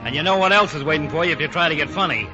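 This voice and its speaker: An American actor playing a menacing crooked gambler in a 1940s radio drama, curt and threatening. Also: male voice, fairly high pitch, animated, racing, lightly acted. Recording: old 1940 radio broadcast, music behind.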